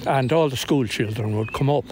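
An older man from County Kilkenny with an Irish accent, telling local memories in a halting, unscripted way outdoors. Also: mid-range voice. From Inistioge, County Kilkenny, Ireland. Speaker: local interviewee telling folklore. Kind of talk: unscripted reminiscing. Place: outdoors in estate grounds. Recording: outdoor location recording, clear background noise.

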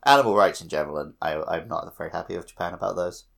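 A man's voice: sits low, very fast, vocal fry, fairly bright tone. A man co-hosting a casual gaming podcast, rambling off into dry asides. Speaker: podcast co-host.

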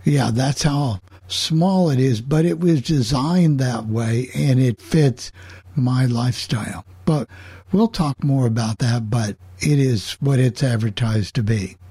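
A man's voice: medium pitch, unhurried, dark tone, slightly rough, dry recording. An older man hosting a casual group chat and moving the conversation along among friends. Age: 60s or older. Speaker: host of a club chat.

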